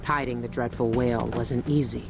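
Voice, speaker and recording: An actress playing a weary, resolute assassin who muses to herself in a wry, self-possessed way in a video game. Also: female voice, a bass voice, steady tone, normal speed, noisy background. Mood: wistful, determined. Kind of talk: video game cutscene monologue.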